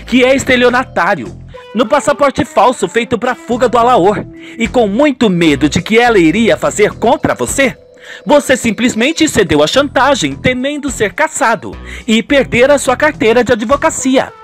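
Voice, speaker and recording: A man's voice: extremely high pitch, big pitch swings, normal speed, soft background music, voice pushed up. A man voicing a scheming, exasperated housekeeper and mistress in a dramatic radio soap. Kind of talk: radio soap opera acting.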